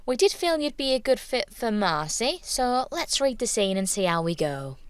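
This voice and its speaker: A woman playing a businesslike casting director running auditions, courteous and smoothing things over, in naturalistic audio drama. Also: on the high side, sing-song, moderate pace, no echo. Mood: diplomatic.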